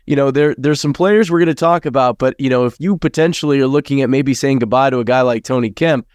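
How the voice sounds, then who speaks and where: A man hosting a casual sports podcast, slightly raspy from congestion and chatty, steering the talk with long questions. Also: medium pitch, fast talker, a little mellow, no echo, studio quality. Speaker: podcast host.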